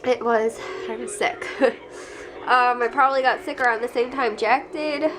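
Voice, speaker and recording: A woman's voice: a little high, steady tone, normal speed, over music, low-quality recording. A young woman vlogging at home while sick and congested, talking casually and rambling with frequent laughs. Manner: rambling, chatty, laughs often. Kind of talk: casual chat to camera.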